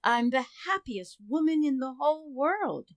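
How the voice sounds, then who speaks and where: A woman as an exasperated, high-voiced sitcom wife. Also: animated, no room sound, studio quality. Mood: exasperated, sarcastic. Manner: measured, pointed, dryly sarcastic. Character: exasperated, firm suburban wife and mother, sarcastic. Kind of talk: old-time radio sitcom acting.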